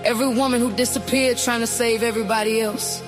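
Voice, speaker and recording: Woman, slow dramatic spoken intro, high voice, loud music behind. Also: steady tone. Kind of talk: spoken intro over a song.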